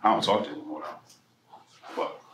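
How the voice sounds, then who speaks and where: A man from New Jersey giving a personal storytelling speech at a political mixer, animated and profane, swinging between loud bursts and quiet asides. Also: moderate pace, a little hiss or hum. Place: event room. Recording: echoey room with faint ambience.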